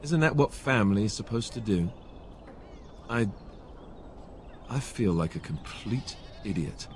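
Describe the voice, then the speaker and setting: Soft-hearted young prince in a game, lowish voice, hesitant, noisy background. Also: male voice, animated, unhurried. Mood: hurt, dejected. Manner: hesitant, sincere. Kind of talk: video game voice acting.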